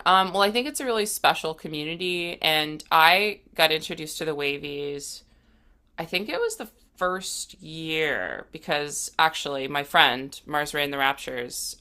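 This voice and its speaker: A woman's voice: low-pitched, sharp tone. A woman talking casually as an interview guest, a musician speaking in an earnest, reflective way.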